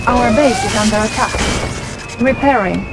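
An actress voicing a cool, detached military command announcer in a strategy game, in clipped status reports. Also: female voice, fairly high pitch, normal speed, over loud music, close to an everyday voice. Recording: loud battle noise and sirens behind.

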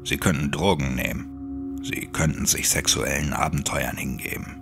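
A man acts out a weary, hollow monk in an audio horror story. His dark voice sits very low with a gravelly edge, hushed and formal as he gently warns, over music. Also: fairly even intonation, normal speed, full character voice. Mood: hushed, resigned. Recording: music under the voice.

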